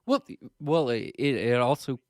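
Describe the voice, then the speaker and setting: A man's voice: medium pitch, animated, normal speed, a little mellow, dry recording, studio quality. A man from Canada co-hosting a podcast, talking politics casually in a hesitant way, stumbling over his words. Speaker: podcast co-host.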